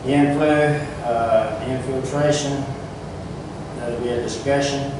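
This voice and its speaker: Man, meeting chair, terse agenda readout, unhurried pace, distant mic, noticeable noise. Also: medium pitch, fairly even intonation. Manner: terse, item-by-item delivery. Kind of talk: running through a meeting agenda. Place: small council meeting room, indoors. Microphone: far camera microphone. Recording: distant mic, echoey room, clearly noisy.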